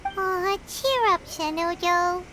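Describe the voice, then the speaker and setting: Cartoonish game sidekick, little blunt fairy girl; extremely high, squeaky, highly animated. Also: slow, slight background noise, heavily acted. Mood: bright, friendly. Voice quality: squeaky, childlike. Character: tiny chirpy, blunt female fairy companion.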